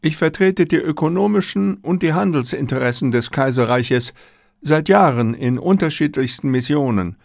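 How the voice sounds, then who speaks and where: A man voicing a formal, courteous diplomat, animated pitch. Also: gravelly, dry recording, studio quality. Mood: formal, explanatory. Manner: formal, polite, measured wording. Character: courteous, reserved middle-aged male Japanese diplomat.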